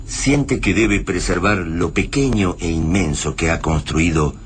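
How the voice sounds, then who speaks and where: An Argentine actor serving as solemn narrator of a radio drama, reading scripted lines with dramatic pauses. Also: male voice, sits low. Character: solemn male narrator of a post-invasion war tale. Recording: clearly noisy radio drama ambience.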